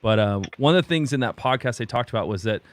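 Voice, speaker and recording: A man in his thirties from Oklahoma, a pastor talking in a halting, reflective way with many restarts during a candid conversation. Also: mid-range voice, animated, a little hiss or hum. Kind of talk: candid conversation on a video call.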